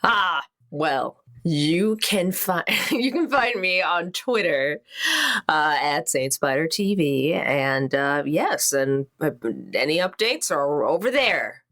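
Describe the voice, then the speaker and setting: A woman chats casually as a player in a tabletop game. Her voice is low and slow, with very animated intonation and a playful, hesitant manner.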